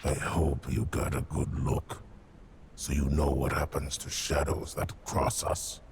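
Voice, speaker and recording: A vengeful, distrustful killer from a fantasy game. The voice is bottomlessly low and gravelly, slowly drawling out threats over a clear rumbling noise. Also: male voice, flat delivery, full character voice. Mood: menacing.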